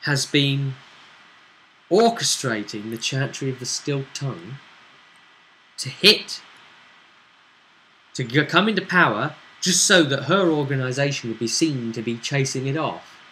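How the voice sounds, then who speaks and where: A man with a bright, forward voice talks as a game master. He explains the tabletop story conversationally, often checking in with tag questions. There is some noise behind him. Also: medium pitch, a little slow, pauses often, poor audio. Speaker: game master and show host. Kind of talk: recapping a tabletop role-playing session conversationally.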